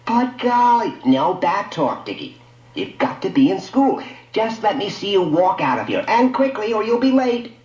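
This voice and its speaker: An impatient mother, acted out by a man talks in a lifted, high voice. She is brisk, bossy and insistent, scolding a child in a radio tale with dull, roomy sound. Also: sing-song, darkish tone. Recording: dull lo-fi audio, echoey room.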